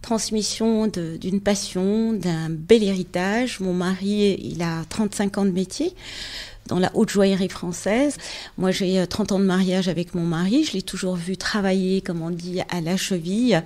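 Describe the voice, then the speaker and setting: Unhurried middle-aged woman from Morocco. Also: mid-range voice, fairly even intonation, dark tone. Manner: measured and unhurried, pausing often to find words. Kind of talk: casual interview, explaining her trade.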